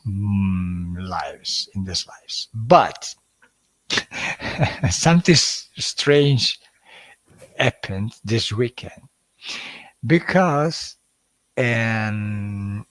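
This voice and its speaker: A man with an Italian accent hosting a live stream, talking in a halting way with many fillers and restarts. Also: fairly low pitch, dry recording. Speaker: hypnosis channel host. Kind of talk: casual livestream talk.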